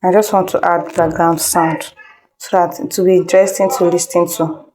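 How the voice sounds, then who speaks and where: A woman's voice: fairly low pitch, flat delivery, moderate pace, a little mellow. A woman instructor giving an informal step-by-step tutorial, repeating instructions and checking in with listeners. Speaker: video editing instructor.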